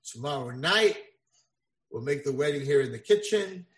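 A man voicing a harsh father who orders a boy around as his slave, in a low, quiet voice. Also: lively intonation, moderate pace. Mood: cold. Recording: echoey room.

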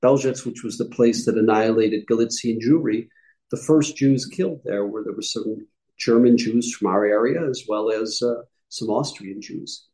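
Mellow-voiced man recounting family history. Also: mid-range voice, moderate pace, poor audio. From upstate New York. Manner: explanatory, with frequent self-corrections and asides. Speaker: guest rabbi. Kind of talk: conversational interview answers.

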